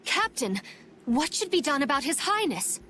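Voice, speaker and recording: Indignant, bright-voiced loyal knight. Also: female voice, sits high, very animated, normal speed, slight background noise, full character voice. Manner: formal, urgent, protesting. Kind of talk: English video game voice acting.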